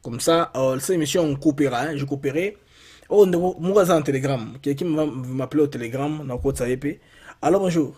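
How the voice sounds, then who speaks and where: A man with a fairly high voice and a Congolese accent hosts a live call-in show. He chats casually and eagerly, repeating himself as he responds. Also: a little fast, a little mellow, breathy edge. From Democratic Republic of the Congo. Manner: enthusiastic, repeats phrases, frequent backchannels. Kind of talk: casual live phone-in chat.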